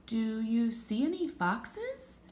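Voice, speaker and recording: An earnest storybook fox, read by a woman, wonders aloud in a thoughtful, questioning way. The delivery is unhurried and expressive, with some room echo. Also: fairly high pitch, slight background noise, pitched above the performer's own voice. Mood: wistful. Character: earnest, inquisitive male fox, wistful and searching.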